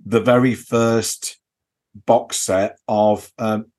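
A man with a fairly low voice talks informally, as if to a friend on a podcast. He feels his way through sentences in a hesitant, rambling fashion, padding them with small filler phrases. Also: steady tone, extremely slow, darkish tone. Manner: hesitant, rambling delivery full of "you know" and "kind of". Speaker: podcast co-host. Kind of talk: casual off-the-record chat, recounting a convention visit.

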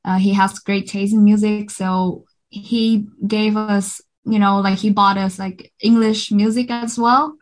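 Upbeat Vietnamese-accented woman, halting speech. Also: mid-range voice, level tone. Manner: hesitant, many fillers and restarts, upbeat. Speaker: singer, interview guest. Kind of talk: casual interview chat.

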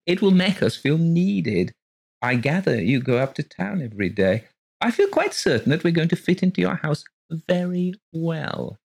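Guy putting on a fluttery gushy middle-aged lady, way up high, swoops all over, dry sound. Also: gravelly, darkish tone, no echo, exaggerated voice. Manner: effusive, breathless, fluttery. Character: gushing genteel middle-aged woman lodger.

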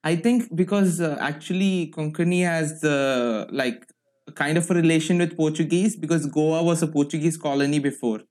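A young man from India, a guest in a casual chat who agrees often and explains gently. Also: on the high side, steady tone, normal speed. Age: young adult.

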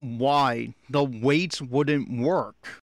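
A man's voice: medium pitch, fairly even intonation, extremely slow, no room sound, studio quality. A man giving blunt, exasperated commentary as he reacts critically to an anime episode. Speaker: podcast host giving audio commentary.

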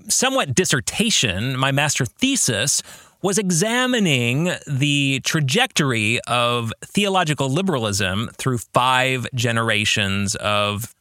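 A man's voice: mid-range voice, pushed and bright, dry recording, clean studio sound. A man hosting a podcast as a theology teacher, speaking in an academic, emphatic way as he explains ideas and reads aloud. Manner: academic, emphatic, asks the listener reflective questions. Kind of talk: book discussion podcast, teaching and reading quotations aloud.

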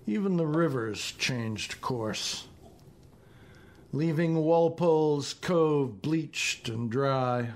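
A man in his seventies with an American accent, reading his own poetry and prose in a deliberate, measured way. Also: on the high side, lively intonation. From northeastern United States. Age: 70s. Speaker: poet reading own work.

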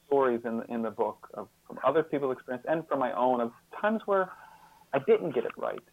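Halting man, dull close sound. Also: medium pitch, lively intonation, dry recording. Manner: halting, hesitant, searching for words with repeated false starts. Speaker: podcast guest, author and caregiving mentor. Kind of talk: conversational interview answers.

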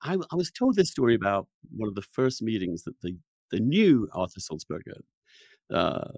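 A man's voice: fairly low pitch, big pitch swings, moderate pace, creaky, darkish tone, no room sound. A man hosting a podcast, giving opinionated conversational commentary and often repeating and restarting his phrases. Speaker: podcast host.

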